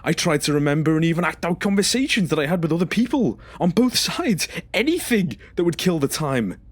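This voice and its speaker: A man's voice: a little high, big pitch swings, brisk, no echo. A man playing a traumatised, confrontational former stasis patient in an audio drama, speaking emphatically and demanding accountability. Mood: bitter, accusing.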